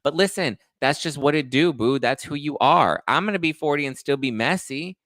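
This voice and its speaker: A man's voice: on the high side, moderate pace, fairly bright tone, dry recording, clean studio sound. A man in his thirties hosting a casual gossip livestream, chatting to viewers in a sassy, playful, slangy way. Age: 30s. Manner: sassy, slangy, playful, addressing listeners as honey and boo. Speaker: host of a pop-culture livestream show.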